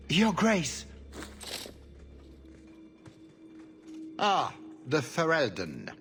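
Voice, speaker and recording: An actor playing a haughty, vengeful duke in a video game, with a pompous, theatrical manner. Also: male voice, mid-range voice, fairly bright tone. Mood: disdainful. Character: haughty, vengeful noble duke, grown man. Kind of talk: video game dramatic acting.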